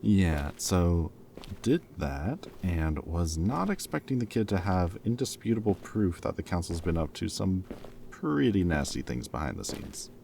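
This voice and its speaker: Very low-voiced wry reformed villain acted by a man. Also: sing-song, measured pace, vocal fry, mellow, slight background noise, heavily acted. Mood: cautious, serious. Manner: casual, wry, hesitant and bantering. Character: former villain, playful and loyal friend to a hero. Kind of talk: audio roleplay acting, casual one-sided conversation.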